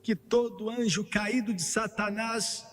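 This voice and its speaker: A man preaching an emphatic sermon that builds to fervent, shouted peaks between joking asides. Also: high voice, fairly even intonation, measured pace. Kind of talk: sermon, voiced over in translation. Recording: long hall reverb with faint music underneath.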